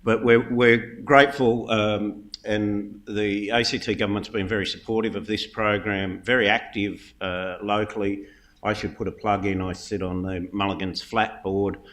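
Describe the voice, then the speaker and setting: An Australian man introducing the next speaker with relaxed, wry remarks and frequent fillers. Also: fairly low pitch, moderate pace.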